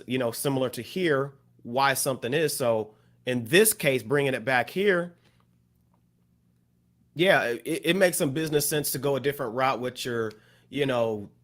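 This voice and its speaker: Male podcast co-host, mid pitch, reflective, loose delivery full of fillers. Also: a bit bright. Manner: thoughtful, many fillers and restarts. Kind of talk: casual discussion.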